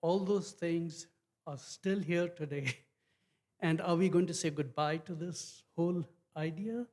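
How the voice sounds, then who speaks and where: Halting, measured man with higher voice. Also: a little mellow. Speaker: audience member. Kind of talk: public comment at an event. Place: event hall.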